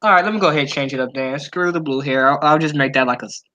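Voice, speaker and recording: A man's voice: fairly high pitch, rapid-fire, pushed and bright. A young man co-hosting a podcast in casual, laid-back, slangy chat. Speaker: podcast co-host, visual novel creator. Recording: dull lo-fi online voice chat.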